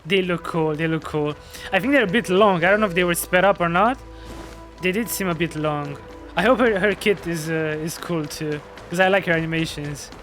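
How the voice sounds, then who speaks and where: A man's voice: on the high side, fairly quick, a bit bright. A man casually commenting while playing a game, chatty and musing aloud. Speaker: streamer. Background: game music.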